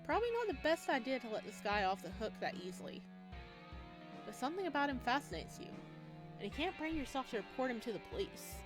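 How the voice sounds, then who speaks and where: A woman game streamer reading visual novel text aloud with casual, expressive commentary. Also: high voice, fast, over music, rough recording.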